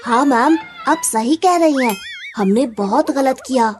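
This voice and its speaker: Woman doing an earnest, squeaky-high cartoon schoolchild. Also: unhurried, faint music behind. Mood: remorseful. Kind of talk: children's cartoon voice acting.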